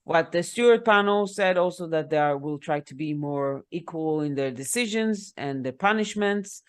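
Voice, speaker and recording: A woman's voice: deep voice, expressive, moderate pace, clear, resonant tone. Chatty woman explaining with fillers. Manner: talkative, explaining, frequent fillers. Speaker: podcast co-host. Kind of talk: casual chat.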